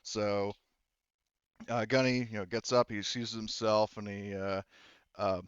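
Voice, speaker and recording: A man's voice: lowish voice, steady tone, measured pace, clear, resonant tone, no room sound, highs cut off. A man narrating a tabletop role-playing game as game master in a casual, informal, loosely phrased way.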